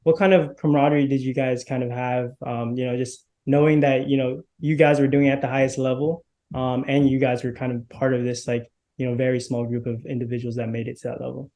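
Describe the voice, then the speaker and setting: A man's voice: mid-range voice, flat delivery, a little fast, few pauses, dark tone. A male host running an interview, asking long, rambling questions full of "you know.". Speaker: interviewer, media outlet host.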